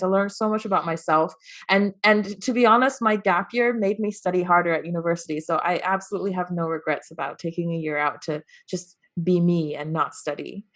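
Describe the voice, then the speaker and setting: A woman's voice: on the low side, fairly bright tone. A young woman from Taiwan with an American accent, chatting as an interview guest, laughing often and restarting her sentences. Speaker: guest, entrepreneur. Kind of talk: casual interview chat.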